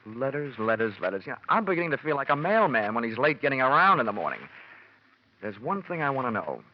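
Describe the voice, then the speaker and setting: Actor as wisecracking hardboiled radio detective, animated. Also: male voice, medium pitch, fast talker, a little hiss or hum, lightly acted. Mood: mocking. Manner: sardonic hardboiled delivery, slangy quips. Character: wisecracking, cocky male freelance insurance investigator. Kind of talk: 1940s-50s radio detective drama acting. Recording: dull vintage radio recording, music behind.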